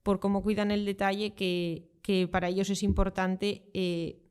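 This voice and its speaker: Smooth-voiced woman explaining at ease. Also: medium pitch, a little slow. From Spain. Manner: relaxed, explanatory, with frequent fillers. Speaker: guest, football translator. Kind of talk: conversational interview answers.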